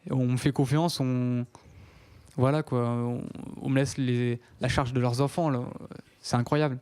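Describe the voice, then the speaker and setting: A teenage boy answers interview questions on student radio, his voice smooth and mellow. He hesitates often, restarting sentences and filling pauses. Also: mid-range voice, steady tone. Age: teens. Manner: hesitant, many fillers and restarts. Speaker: interview guest.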